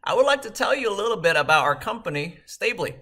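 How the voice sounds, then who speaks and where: Man, 30s, from Vietnam, fairly high and bright voice, scripted measured delivery. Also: big pitch swings. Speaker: startup co-founder and CEO. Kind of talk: prepared video message.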